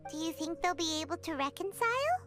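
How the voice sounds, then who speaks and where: Childlike game fairy, very high pitch, animated, cute, chirpy, music underneath. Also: female voice, quick pace. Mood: curious. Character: small cheerful floating childlike fairy companion, female. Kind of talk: video game voice acting. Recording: music playing behind.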